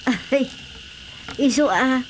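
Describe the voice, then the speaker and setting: A woman's voice: high-pitched, very slow, a little hiss or hum. A woman playing a questioning, mildly scolding middle-aged village wife and mother in a comic radio drama, with an Ilocano accent.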